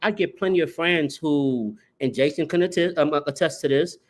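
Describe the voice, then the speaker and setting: A man co-hosting a podcast in casual chat, telling stories with a voice that jumps up on punchlines. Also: moderate pace. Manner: animated storyteller, rising pitch on punchlines. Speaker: podcast co-host.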